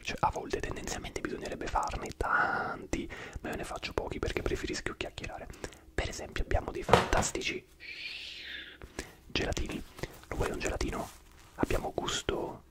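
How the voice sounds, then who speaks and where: A man whispering throughout as he hosts an ASMR live stream, chatting and rambling to viewers by name.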